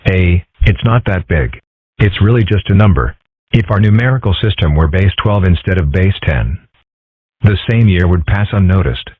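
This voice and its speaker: A man voicing a calm, philosophical, dryly skeptical young fox boy in an amateur comic dub, with measured, deadpan delivery. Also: low voice, breathy edge. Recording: muffled, tinny phone-like audio.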